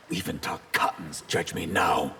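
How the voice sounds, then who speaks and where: An actor playing a proud, composed water mage in a video game, dignified and earnest. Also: male voice, sits low, steady tone, takes it slowly, bright, forceful tone, full character voice. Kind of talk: video game character dialogue. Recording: long reverb, clear noise behind.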